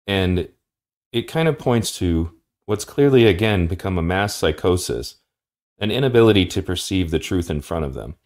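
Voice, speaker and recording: A man with a very deep voice delivers scripted commentary in a firm, assertive tone. He speaks with steady conviction. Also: moderate pace. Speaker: podcast commentator.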